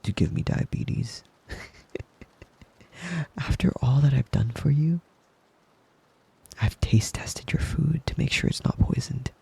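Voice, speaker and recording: A man playing a devoted, possessive young wolf-boy lover in an intimate ASMR roleplay, tender and breathy, often slipping into a whisper. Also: a little high, warm tone, full character voice. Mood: playful, doting. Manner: tender, doting, slow and lingering with pet names. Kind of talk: intimate ASMR roleplay, soothing bedtime murmur.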